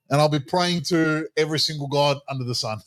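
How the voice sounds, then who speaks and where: A man's voice: on the high side, moderate pace. A man co-hosting a casual sports podcast, talking in emphatic, opinionated banter. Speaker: podcast co-host.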